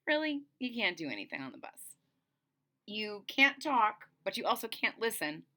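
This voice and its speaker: A middle-aged woman reads a children's story aloud with a very animated, swooping delivery. Her voice is bright and forceful. She leans on key words for drama and breaks off to explain things simply to young listeners. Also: medium pitch, moderate pace. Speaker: storyteller reading a picture book aloud. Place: small indoor room at home.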